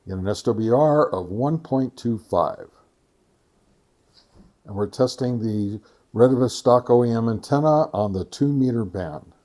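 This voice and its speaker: A man with a soft, mellow voice of middling pitch presents a hands-on test. He talks casually but methodically, with halting pauses, and reads out figures deliberately. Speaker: video host, radio reviewer. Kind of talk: casual product test narration.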